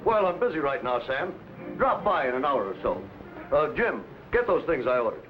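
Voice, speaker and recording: A secretive, worried landowner with a higher-pitched voice, giving clipped orders with urgency. It sounds like a vintage adventure drama, dull in fidelity, with loud music behind. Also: male voice, sing-song, moderate pace. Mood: irritated, defensive. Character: worried, secretive, commanding landowner fearing gold will ruin him. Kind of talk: 1950s adventure drama acting.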